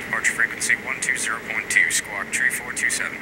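An actor playing a young air traffic controller, giving brisk, clipped clearances over aircraft radio. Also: male voice, mid-range voice, fairly even intonation. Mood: brisk, businesslike. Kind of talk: rapid clearance phraseology. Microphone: headset. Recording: very noisy aviation radio.

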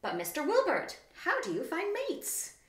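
A small, young storybook wallaby played by a woman reader, female, squeaky and eager to please. The voice sits high and bright with polite curiosity, in a roomy, echoing space. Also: huge pitch swings, unhurried, far from the mic, heavily acted. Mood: eager, curious. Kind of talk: children's storybook read-aloud. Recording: roomy, echoing indoor room.

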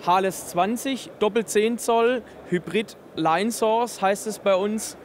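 A man's voice: sits high, unhurried. A man in his thirties giving an enthusiastic, technical product explanation in an interview. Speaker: exhibitor presenting a loudspeaker system. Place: busy trade fair booth in a large hall. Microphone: handheld interview microphone held by interviewer.

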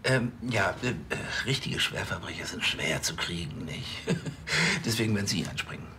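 Rambling, scheming police chief. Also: male voice, sits low, lively intonation, normal speed, sharp tone, a little hiss or hum. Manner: hesitant, rambling, falsely cordial. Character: scheming, flustered middle-aged male police chief.

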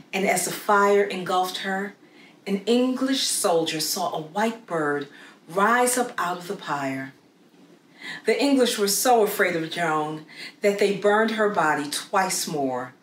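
A woman narrates a children's storybook in a slightly low, very animated voice. She places her emphasis deliberately and dramatically. The room is echoey, with noticeable noise behind her. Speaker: storybook read-aloud narrator. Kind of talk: scripted narration, children's read-aloud story. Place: small plain room, indoors. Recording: roomy echo with clear background noise.

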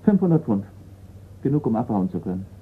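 A man's voice: mid-range voice, big pitch swings, brisk, natural voice. A man playing a terse, guarded informant in a German radio crime drama. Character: male informant, terse and secretive. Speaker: actor. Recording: muffled, slightly noisy radio play recording.

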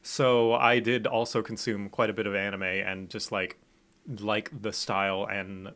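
A man's voice: lowish voice, sharp tone. A man who grew up in Thailand, chatting as a guest on a podcast, thoughtful and rambling with restarts. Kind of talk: casual chat.

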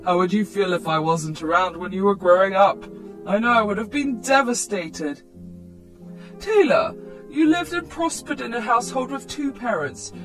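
A woman's voice: medium pitch, moderate pace, a bit bright, faint music behind, natural voice, rough recording. A woman voicing a disapproving older parent, reproachful and pleading, in a story. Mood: scolding.

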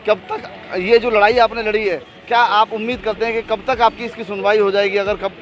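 A male reporter asking probing, slightly halting questions in a street interview outdoors at a protest. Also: high voice, little pitch movement, fairly bright tone, muffled recording. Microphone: handheld mic.

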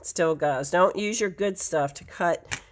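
Low-voiced nasal woman teaching sewing. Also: slow pace, a little hiss or hum. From United States. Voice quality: nasal from allergies. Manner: chatty, rambling asides, self-correcting. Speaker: quilting teacher. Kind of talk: casual craft tutorial. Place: home sewing table, indoors.

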